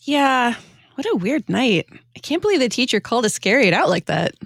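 A woman's voice: on the high side, sing-song, a little fast, gravelly, no room sound, studio quality, full character voice. A woman playing an earnest, easily rattled young male disciple in a biblical sitcom radio play, talking in hesitant, colloquial buddy banter. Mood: troubled, dejected.

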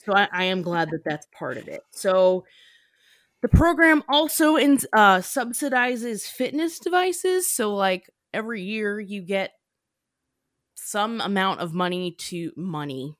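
A woman's voice: medium pitch, lively intonation, measured pace, no room sound. Young woman podcast host, chatty and slangy, playful, super dry close sound. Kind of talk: casual explanatory podcast chat.

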